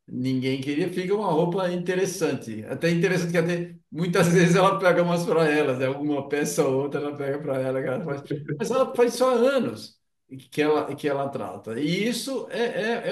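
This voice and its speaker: A man's voice: fairly high pitch, animated, brisk, recorded from a distance. A man with a Brazilian accent, hosting an interview in a casual, conversational way. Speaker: interview host. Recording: roomy echo, away from the mic.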